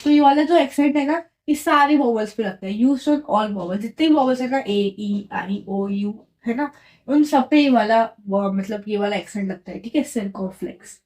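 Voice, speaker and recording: A woman teaches in a patient, repetitive way, pausing often to check that the listener follows. Her voice sits a little high, yet its tone is mellow and unforced. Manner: patient, repeats and checks in with "right?". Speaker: French teacher. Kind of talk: explaining a lesson at a whiteboard.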